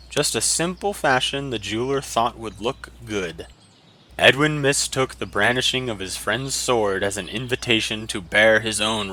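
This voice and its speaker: A man's voice: lowish voice, sharp tone. A man narrating a fantasy story in a dramatic, scripted audiobook style.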